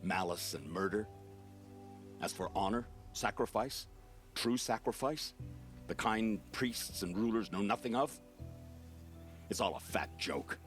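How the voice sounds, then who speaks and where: An actor playing a bitter, world-weary soldier captain in a video game, speaking gravely and with measured weight. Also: male voice, fairly bright tone, faint music behind. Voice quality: deep, gravelly. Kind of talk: dramatic video game acting.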